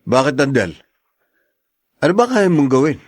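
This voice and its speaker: A man's voice: medium pitch, sing-song, moderate pace, no room sound, clean studio sound. A man playing an exasperated husband in a Filipino radio drama, speaking with a Filipino accent. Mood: amused, griping. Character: exasperated husband dodging his visiting in-laws.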